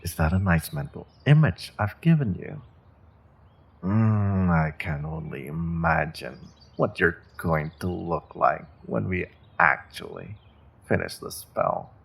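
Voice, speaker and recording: A man playing a cruel rogue sorcerer in a fantasy audio drama, taunting a captive with mock politeness, often dropping toward a breathy whisper. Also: sits low, lively intonation, fairly bright tone, exaggerated voice. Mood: gloating. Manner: slow, taunting, mock-polite, savoring threats with pauses. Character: cruel, menacing rogue sorcerer, male captor taunting his prisoner.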